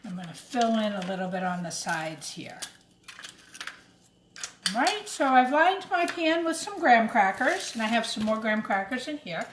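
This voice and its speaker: Higher-voiced woman teaching children in echoey kitchen. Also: sing-song, normal speed. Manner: addresses young children directly in simple, explanatory phrases. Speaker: teacher presenting a children's cooking demonstration. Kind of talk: step-by-step cooking demonstration for children. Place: indoors in a kitchen. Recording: roomy echo, clattering kitchen noise.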